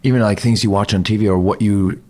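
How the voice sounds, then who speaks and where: A man's voice: fairly low pitch, steady tone, fast, darkish tone. A man hosting a casual podcast interview, encouraging and enthusiastic toward his guest. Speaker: podcast host.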